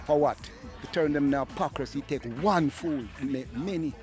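An older Jamaican man preaches his views outdoors on a city street, his voice sitting a little high. He drops to a low mutter, then bursts out loud. The handheld mic is held up to him by an interviewer. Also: moderate pace, soft background music. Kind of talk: impassioned street talk.

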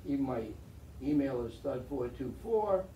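A man's voice: medium pitch, lively intonation, a little slow, warm tone. Wry older man lecturing in an echoey room. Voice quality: chatty, wry storyteller with restarts. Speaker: guest speaker, veteran pilot. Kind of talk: slide lecture to an audience. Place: meeting room with projector screen. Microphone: far camera in the audience. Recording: roomy, distant and faintly noisy.

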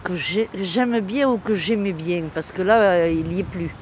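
A woman's voice: on the low side, moderate pace, darkish tone, slight background noise. An older woman from southern France, interviewed outdoors in her village, recalls her childhood hesitantly and fondly, with frequent fillers. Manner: hesitant, fond, many fillers and "voilà". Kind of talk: street interview reminiscing.

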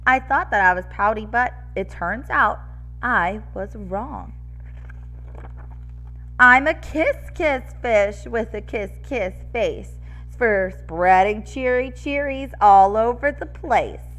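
A woman's voice: fairly high pitch, animated, slow pace, fairly bright tone, soft background music, low-quality recording. A woman voicing a pouting male fish from a picture book, chanting in rhyme. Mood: cheerful realization.

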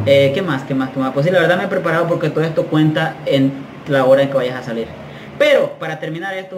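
Animated man in his thirties, echoey room. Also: medium pitch. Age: 30s. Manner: animated, gestures a lot, slangy and frank. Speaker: vlogger. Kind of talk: casual storytelling to camera. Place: small bare tiled room indoors. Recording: echoey room, faint background noise.

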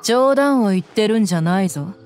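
A woman's voice: mid-range voice, expressive, fairly quick, over music, natural voice. An actress playing a tough, confident young woman mercenary in a Japanese anime game, with blunt, intimidating phrasing. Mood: cool, threatening.

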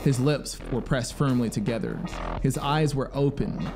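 Male narrator, thirties, dramatic literary reading, deliberate delivery, middle-pitched voice, soft synth music behind. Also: animated. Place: small home studio, indoors. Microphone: close studio microphone on boom arm. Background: synthesizer soundscape music.

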